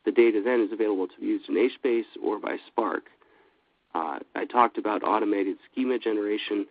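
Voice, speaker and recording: A low, gravelly man with a cold presents at a steady, businesslike pace, with frequent fillers. The sound is thin and phone-like and very dry. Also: brisk, no echo. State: has a cold. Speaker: webinar presenter. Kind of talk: scripted product presentation.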